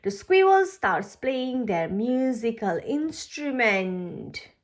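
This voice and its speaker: Female children's storybook reader, highish voice, very animated, unhurried delivery. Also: heavy background noise. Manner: deliberate, expressive delivery for young children. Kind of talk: children's read-aloud narration.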